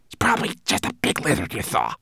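Guy doing a small nervy servant creature, higher voice, rushed n servile, kinda hissy. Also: huge pitch swings, fairly bright tone, no echo, studio quality, exaggerated voice. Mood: hissing, scornful. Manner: hurried, hissing, fawning to his master. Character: small male servant, anxious, servile, sharp with underlings.

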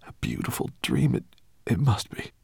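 A man voicing a gentle male dragon who has just woken and speaks softly, full of wonder. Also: mid-range voice, big pitch swings, moderate pace, gravelly, slightly rough, dry recording, studio quality, voice pushed up, heavily acted. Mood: bewildered.

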